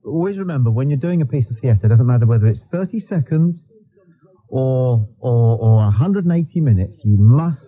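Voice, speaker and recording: A man's voice: medium pitch, animated, brisk, narrow, tinny audio. A man hosting a live radio phone-in in a dry, wry, instructive way. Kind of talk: live talk radio chat. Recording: thin, band-limited radio recording.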